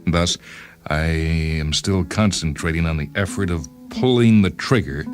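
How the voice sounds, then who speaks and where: A man reading a first-person short story in a wry, measured, literary way, as a dramatic narrator. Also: low voice, lively intonation. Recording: music bed behind the voice. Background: instrumental music with guitar.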